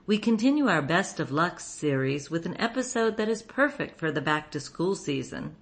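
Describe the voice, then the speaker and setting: A woman's voice: lowish voice, big pitch swings, moderate pace. A woman reading a scripted introduction as the host of a radio show.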